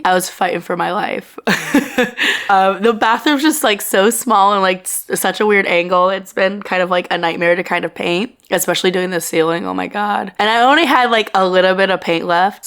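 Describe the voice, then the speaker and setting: Young woman, book vlogger, hoarse with a cold, chatty and rambling, talking into a phone. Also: on the low side, a bit bright. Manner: chatty, rambling, laughs often. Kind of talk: casual vlog to camera. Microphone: phone camera.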